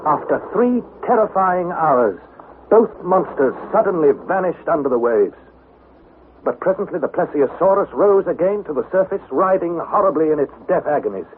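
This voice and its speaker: An actor playing an earnest young explorer, narrating his expedition in measured, dramatic vintage radio style. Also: on the high side, animated, natural voice. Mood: dramatic recounting. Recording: tinny, hissy vintage radio broadcast.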